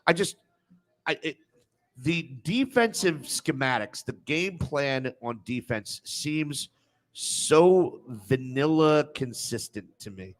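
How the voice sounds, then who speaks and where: Male sports panel host, somewhat high voice, blunt, combative, quick to interrupt. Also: expressive. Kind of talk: heated sports debate and banter.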